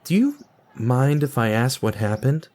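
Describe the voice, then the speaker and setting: A man's voice: mid-range voice, very animated, unhurried, darkish tone. A man playing a bland, awkward guy in his twenties in an audio drama, with a hesitant, earnest manner. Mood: reflective. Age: 20s.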